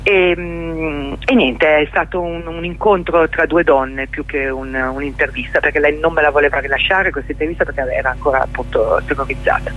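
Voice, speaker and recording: A middle-aged woman with a husky voice, explaining her own work as a guest in a conversational radio interview over the telephone. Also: deep voice, fairly even intonation, fairly quick. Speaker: interview guest, journalist and stage performer. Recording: dull telephone line to a radio studio.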